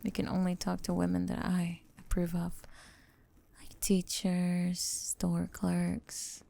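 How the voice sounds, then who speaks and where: A young woman playing a lovestruck, possessive lamia roommate in an intimate ASMR roleplay, whispering slowly and tenderly in a breathy, coaxing way. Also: on the low side, completely flat delivery, mellow, exaggerated voice. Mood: jealous, obsessive.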